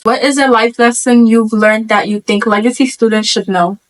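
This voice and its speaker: Teen girl host reading out questions, slightly high voice, steady. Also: normal speed, poor audio. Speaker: student news host.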